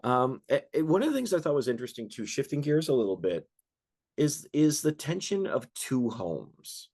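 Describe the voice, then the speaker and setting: A man's voice: mid-range voice, big pitch swings, moderate pace, darkish tone, husky. A man interviewing a filmmaker, asking long rambling questions full of hesitations and fillers.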